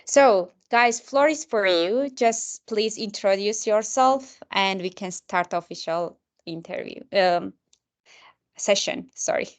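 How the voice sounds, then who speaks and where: A woman's voice: mid-range voice, slow. A woman hosting an online mentorship session, speaking hesitantly with many filler sounds.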